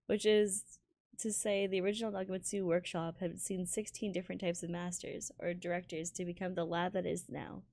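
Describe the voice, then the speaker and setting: A woman streaming a visual novel, reading it aloud in a halting, giggly way with stumbles over words. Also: fairly low pitch, a little mellow, dry recording. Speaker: game streamer.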